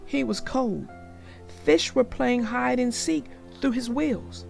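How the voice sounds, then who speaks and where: A woman reading a children's story aloud and then talking gently about its lesson. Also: on the high side, takes it slowly, gravelly, faint music behind. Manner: gentle, reflective, explains morals.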